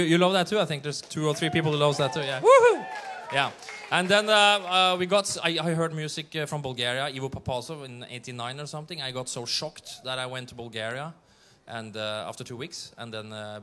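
A Norwegian man, an accordion player, chatting playfully on stage with hesitant, drawn-out fillers. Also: mid-range voice, a bit bright. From Norway. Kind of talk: onstage interview banter.

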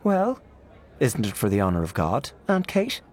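A man voicing a gentle young woman who smooths over quarrels in a soothing way. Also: fairly low pitch, wildly swooping pitch, moderate pace. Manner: soothing, pacifying.

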